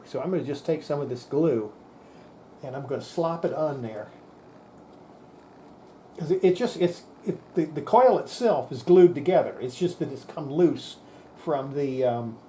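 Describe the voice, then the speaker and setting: A man's voice: fairly high pitch, lively intonation, measured pace, long pauses, dark tone, a little hiss or hum. A man giving an informal hands-on technical explanation, plain-spoken and folksy, thinking aloud as he demonstrates equipment. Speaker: presenter demonstrating radio equipment.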